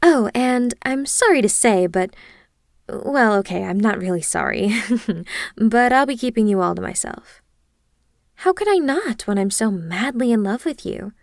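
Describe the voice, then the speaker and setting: A woman's voice: a little high, animated, measured pace, gravelly, no room sound, studio quality. A woman playing an obsessive, clingy yandere kidnapper in an intimate ASMR roleplay, speaking in a gushing, hesitant, awkwardly earnest way straight to the listener. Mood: smitten, rambling.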